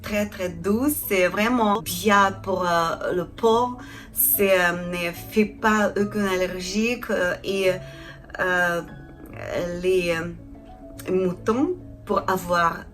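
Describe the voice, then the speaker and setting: A woman from Ukraine with a Ukrainian accent, a non-native speaker introducing her craft channel in a halting way, often searching for words. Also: lowish voice, fairly bright tone, faint music behind. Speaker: craft channel host, felting artisan. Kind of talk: casual introduction to camera.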